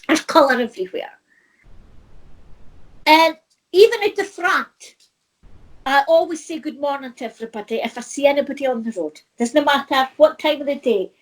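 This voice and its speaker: A woman's voice: sits high, normal speed, pauses often, rough recording. A middle-aged woman, talking in a casual chat, chatty and laughing often, repeating herself. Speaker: co-host.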